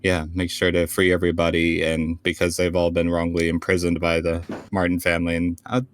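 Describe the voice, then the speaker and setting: A man's voice: sits low, monotone, fairly quick, no room sound. A man chatting casually as a player on a tabletop podcast. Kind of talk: casual chat.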